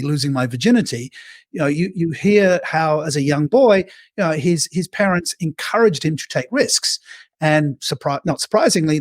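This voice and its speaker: Middle-aged man, English-born with an Australian accent, fairly high voice, dry close mic, animated storyteller voicing quotes, video-call guest. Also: no room sound. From England. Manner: animated storyteller, acts out quoted inner dialogue. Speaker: guest speaker on self-leadership. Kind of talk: conversational interview answers. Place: bright room with arched windows, indoors.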